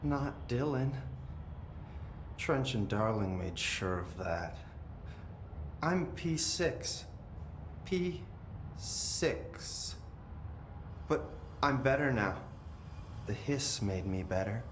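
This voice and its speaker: A detached, eerily calm man speaks in slow, flat, measured phrases. His voice rings in an echoey room with steady noise behind it. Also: mid-range voice. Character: eerie, detached man, brother held in captivity, possessed.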